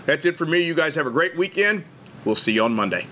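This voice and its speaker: A man's voice: on the high side, lively intonation, quick pace, pushed and bright, a little hiss or hum. A middle-aged man giving upbeat, friendly school video announcements to students from a small school studio. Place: school TV studio, indoors, green screen.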